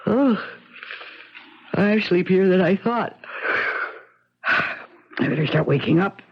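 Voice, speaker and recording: Drowsy, trusting female victim, husky and slow, faltering in bewilderment, vintage radio drama, muffled. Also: on the low side, animated, heavily acted. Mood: drowsy, dismissive. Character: trusting woman, groggy and bewildered by betrayal. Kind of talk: 1970s radio drama acting. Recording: muffled 1970s radio broadcast.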